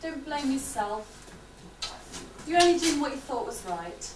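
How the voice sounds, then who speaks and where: Woman acting kind put-upon daughter, earnest, lively pitch swings, distant noisy stage recording. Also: measured pace. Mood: sympathetic. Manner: earnest, plaintive. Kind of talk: amateur pantomime stage acting. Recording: echoey, noisy hall from far off.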